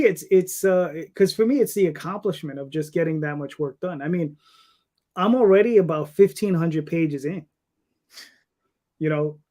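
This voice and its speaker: A man's voice: on the high side, darkish tone. A man in his fifties talking casually as an interview guest about his creative work, in a rambling way. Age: 50s.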